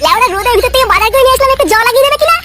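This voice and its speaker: A performer with a pitched-up voice playing a foul-mouthed, aggrieved, short-tempered village lad in a Bengali comedy cartoon. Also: very fast, background noise. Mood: furious. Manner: ranting, swearing, aggrieved.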